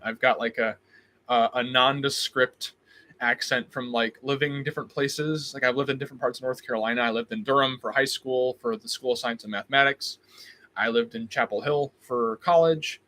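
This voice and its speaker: Bright-voiced man with a slight Midwestern accent. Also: medium pitch, moderate pace. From Illinois, US. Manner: reads chat questions aloud, answers off the cuff with frequent ums and restarts. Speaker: host. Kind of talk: live stream Q&A.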